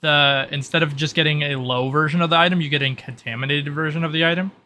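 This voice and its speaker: A man's voice: on the high side, steady tone, fast talker, pushed and bright. A man acting as game master, casually explaining the rules of a tabletop game.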